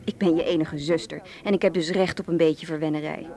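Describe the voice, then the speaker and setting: Cool flirty villain sister, amused and ruthless, teasing, radio play. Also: female voice, sits low, fairly even intonation, a little fast, dark tone. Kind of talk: radio drama acting.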